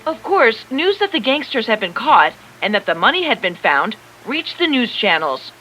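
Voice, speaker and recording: A woman narrates a story for young people in a slightly high voice with lively, varied intonation. Music plays clearly behind her. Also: normal speed, low-quality recording. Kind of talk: scripted children's story narration. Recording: background music under the voice.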